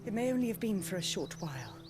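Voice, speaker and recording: An actress playing a kindly, nostalgic, middle-aged noblewoman in a game, gracious and gentle. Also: mid-range voice, big pitch swings, fast talker, over music, natural voice. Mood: gracious, wistful. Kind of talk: video game cutscene acting.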